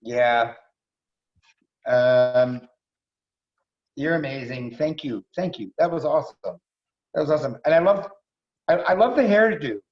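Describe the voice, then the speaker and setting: A man's voice: mid-range voice, unhurried, long pauses. A middle-aged man casually introducing performers as host of a staged reading over a video call, effusive and repeating himself for emphasis. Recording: dull video call audio.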